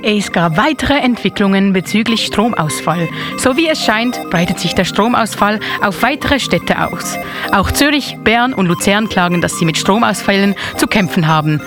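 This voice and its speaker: A woman's voice: mid-range voice, animated, moderate pace, natural voice. Woman as a radio newsreader over music. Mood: businesslike. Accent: Swiss. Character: radio newsreader reporting breaking news. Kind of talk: news bulletin and song announcement. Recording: music behind the voice.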